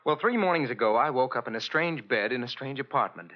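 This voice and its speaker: A man's voice: mid-range voice, animated, fast, natural voice. An actor playing an earnest, anxious young man with amnesia in 1940s radio style, speaking hesitantly as he explains himself. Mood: earnest, uneasy. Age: young adult. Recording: muffled old radio recording.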